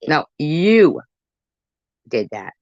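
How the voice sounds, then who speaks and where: A woman's voice: low-pitched, sing-song, drawn-out words, a little mellow, no room sound. Combative woman, dull dry recording. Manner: combative, swearing, cuts in to rebut. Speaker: channel host reacting to a clip. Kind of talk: heated commentary.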